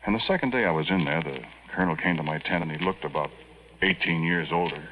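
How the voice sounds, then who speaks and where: An actor playing an earnest, flustered young army sergeant in a stammering, deferential manner, in 1950s radio drama style. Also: male voice, sits low, quick pace, close to an everyday voice. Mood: subdued narrating. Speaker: staff sergeant. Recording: dull, noisy 1950s radio recording.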